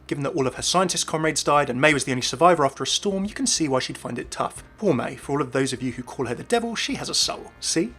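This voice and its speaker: Casting: male video presenter, brisk, slightly high voice, scripted game commentary. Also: expressive, a bit bright, slightly rough, soft background music. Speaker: YouTube game lore presenter. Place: voice-over with faint music behind.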